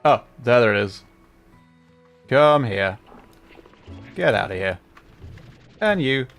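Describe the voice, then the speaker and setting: A man's voice: medium pitch, very animated, slow, gravelly, a bit bright. A man chatting casually on a gaming livestream, thinking aloud in a hesitant, rambling way. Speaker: streamer. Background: game music.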